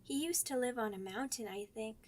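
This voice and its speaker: Woman voicing a high-pitched, timid young werewolf pup. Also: a little hiss or hum, natural voice. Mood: cheerful, eager. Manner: childlike, halting, stammering, simple words. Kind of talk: ASMR roleplay audio drama.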